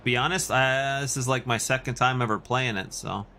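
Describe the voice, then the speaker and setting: A man's voice: medium pitch, steady tone, normal speed, gravelly, sharp tone, slight background noise. A Canadian man chatting casually and in a rambling way as he hosts a video game livestream and responds to his chat. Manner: rambling, reacting to chat messages.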